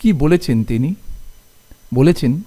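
A man teaching literature to students, speaking in deliberate short phrases and often posing questions as he explains. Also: on the high side, lively intonation, dark tone.

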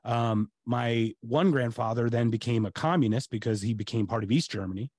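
A man's voice: mid-range voice, normal speed, a little mellow, breathy edge, no echo, clean studio sound. A middle-aged American man hosting a podcast over a video call, talking casually with lots of restarts and fillers. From United States. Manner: rambling, many restarts and fillers. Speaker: podcast host. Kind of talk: casual interview chat. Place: home room, video call.

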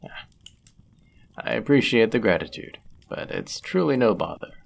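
A man's voice: lowish voice, sing-song, normal speed, vocal fry, exaggerated voice. A man playing a flirtatious, courteous medieval fantasy innkeeper in a roleplay audio drama, with formal, ornate, teasing phrasing. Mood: gracious, attentive. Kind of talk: scripted roleplay audio drama, addressing the listener.

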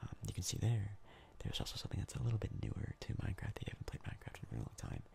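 A young man giving soft-spoken ASMR game commentary in a breathy, crackly whisper, casual and rambling with hesitant pauses. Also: lowish voice, very animated. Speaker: ASMR gaming YouTuber.

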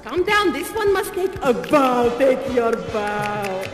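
A man's voice: very high-pitched, sing-song, heavily acted. A man playing a gushing, theatrical prima ballerina dance instructor, grandiose and doting, performing live on stage as a contest host. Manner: effusive, grandiose, doting, drawn-out delivery. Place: large echoey stage venue. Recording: echoey hall PA, music behind.

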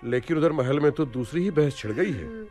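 A solemn, gossiping palace servant from a radio play acted by a man. His voice sits fairly high and moves up and down a lot, over faint music. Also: animated, moderate pace, a little mellow, lightly acted. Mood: solemn, busybody.

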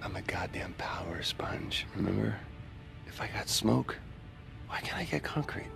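An actor playing a cocky, headstrong young American rebel in a video game, talking in casual, brash slang. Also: male voice, low-pitched, expressive, a little slow, vocal fry, whispered, music in the background, full character voice. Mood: determined. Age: young adult. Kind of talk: naturalistic video game acting.

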